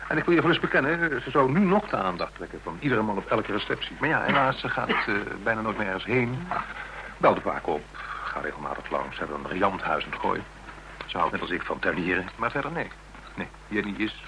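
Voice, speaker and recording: A formal, earnest male legal adviser with a Netherlands Dutch accent. His voice is on the low side, and he speaks in a precise, wordy and hesitant way. It comes from a radio play with clearly audible noise. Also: animated, a little fast. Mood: fond, wistful. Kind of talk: radio drama dialogue. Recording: noisy, hissy radio drama recording.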